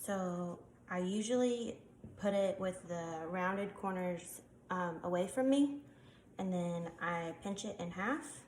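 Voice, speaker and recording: Congested woman with a lowish voice, talking through a hands-on demo with ums; echoey room, faint noise. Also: slow pace, a little mellow. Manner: chatty, explains while working with hands, frequent ums. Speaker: craft tutorial maker. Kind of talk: casual step-by-step demonstration.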